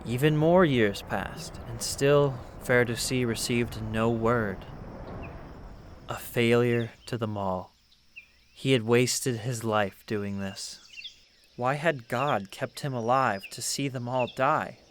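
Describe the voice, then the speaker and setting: A man's voice: mid-range voice, takes it slowly, slight background noise. A man giving a scripted, dramatic narration of a historical biography in a literary storytelling manner.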